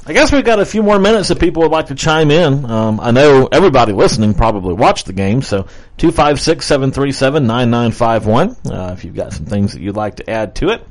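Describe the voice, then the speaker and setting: A man's voice: medium pitch, lively intonation, brisk, low-quality recording. A man co-hosting a local radio talk show, bantering playfully and laughing often. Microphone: studio microphone.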